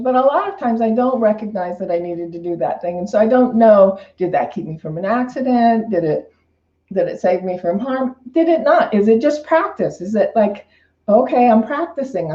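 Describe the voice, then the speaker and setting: A woman's voice: on the high side, expressive, moderate pace, dark tone. A woman minister giving an informal, unscripted spiritual talk in a reflective, conversational way, often repeating and rephrasing her phrases. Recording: roomy, slightly dull lo-fi sound.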